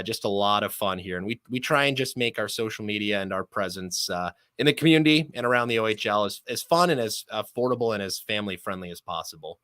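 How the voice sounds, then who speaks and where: A male sports broadcaster chatting as a podcast guest. His voice is medium-pitched with a bright, forward edge and a very dry, close sound. He talks at length in an emphatic, appreciative way. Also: no echo. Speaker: sports play-by-play announcer, interview guest. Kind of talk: conversational sports podcast interview.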